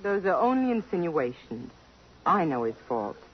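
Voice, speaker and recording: A woman's voice: sits low, sing-song, moderate pace, slightly rough, full character voice. An actress playing a poised, proud young career woman in a 1950s radio drama, speaking in an earnest, refined, emphatic way. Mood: indignant, defensive. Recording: muffled, noisy vintage radio broadcast.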